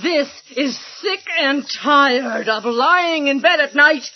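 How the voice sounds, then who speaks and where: A high-voiced, bitterly sarcastic wife. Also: female voice, animated, slow, full character voice. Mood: furious. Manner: biting, sarcastic. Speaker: actor in an audio drama. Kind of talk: audio drama acting. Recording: dull lo-fi audio.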